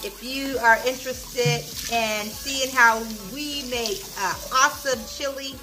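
A woman's voice: high voice, steady tone, slow pace, background noise. A woman in her thirties chatting and teasing while filming a cooking vlog in her home kitchen. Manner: chatty, teasing, laughs easily. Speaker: vlogger. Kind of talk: casual cooking vlog chat.

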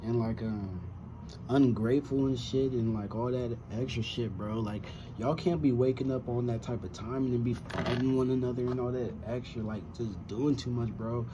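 A man's voice: medium pitch, steady tone, normal speed, background noise. A man, chatting casually to camera in a rambling, slangy way with frequent swearing. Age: 20s. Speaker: reaction video host. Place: small room with wooden cabinets indoors. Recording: dull lo-fi sound, music behind.

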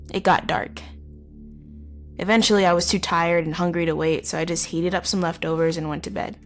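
A woman playing a wounded, wry, guarded teenage runaway in a halting, confessional audio drama monologue. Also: fairly low pitch, natural voice. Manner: halting, conversational, with pauses and wry asides. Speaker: narrator of audio horror story. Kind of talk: first-person dramatic monologue, confessional storytelling.

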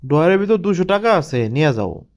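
A man's voice: fairly high pitch, sing-song, fast talker. A man voicing a stingy, domineering husband in a cartoon family drama, speaking with sarcastic, dismissive scorn.

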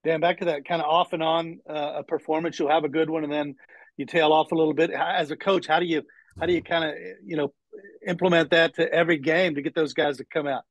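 A man's voice: on the high side, little pitch movement, quick pace. A man, a reporter, asking a rambling question at a press conference. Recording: dull, lo-fi audio.

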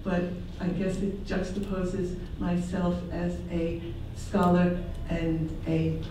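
A woman reading her academic paper aloud at a symposium in a university classroom, measured and careful, with a few restarts. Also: lowish voice, level tone, background noise. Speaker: symposium presenter. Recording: echoey classroom from far off.

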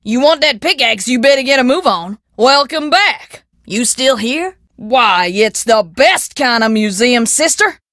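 A woman's voice: a little high, expressive, measured pace, few pauses, a bit bright, no room sound, clean studio sound. A woman voicing a sassy, bossy frontier shopkeeper with a drawling American country twang in a video game. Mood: bossy, impatient. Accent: rustic American country twang. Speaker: keeper of an old-time museum and taffy shop. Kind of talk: video game character dialogue.

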